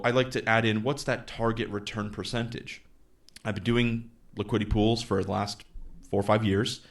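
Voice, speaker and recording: A man in his thirties with a low voice that often drops into vocal fry. He explains his money goals in an easygoing, off-the-cuff way, restarting sentences and slipping into quoted back-and-forth with the people he advises. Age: 30s. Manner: conversational, thinks aloud with fillers and restarts; acts out dialogue with clients in quotes. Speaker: investing channel host. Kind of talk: casual explainer monologue.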